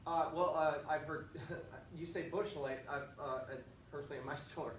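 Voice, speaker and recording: A man's voice: fairly high pitch, fairly even intonation, normal speed. A Midwestern American man talking casually in a bar, rambling with lots of fillers. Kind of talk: casual chat. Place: bar and grill. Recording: echoey bar, far from mic, background noise.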